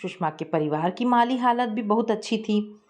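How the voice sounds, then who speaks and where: A woman narrating a scripted family story in a steady, measured reading style. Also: mid-range voice.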